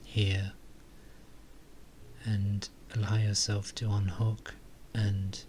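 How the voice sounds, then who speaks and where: A man softly leading a guided meditation, calm and unhurried, with long pauses between phrases. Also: lowish voice, a little hiss or hum.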